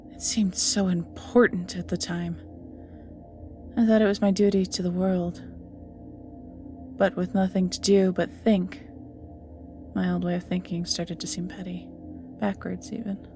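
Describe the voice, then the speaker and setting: A woman's voice: fairly low pitch, little pitch movement, a little slow, pauses often, dark tone. A woman playing an ancient banished evil magical being in an audio roleplay, flirty and world-weary, musing theatrically and often sinking to near-whispers, with music behind. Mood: rueful. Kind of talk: scripted audio roleplay acting, addressing the listener.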